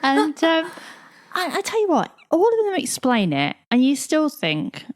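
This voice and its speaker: A woman's voice: high voice, wildly swooping pitch, moderate pace. A woman in her forties, co-hosting a podcast in casual chat, chatty and laughing often. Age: 40s. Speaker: podcast co-host.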